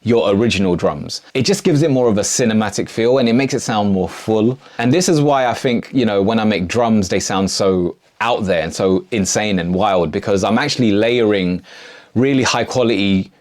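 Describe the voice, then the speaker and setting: Male tutorial host, lower register, casual and slangy, keen music producer talking viewers through his process. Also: normal speed, darkish tone. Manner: enthusiastic, slangy. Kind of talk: casual video tutorial narration.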